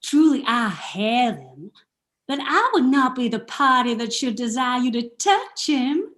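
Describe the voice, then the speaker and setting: Woman playing a high, swooping rustic clown. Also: sing-song, a little slow, fairly bright tone, full character voice. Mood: droll, earnest. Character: rustic clownish peasant man, chatty and foolishly earnest. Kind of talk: comic Shakespearean radio play acting.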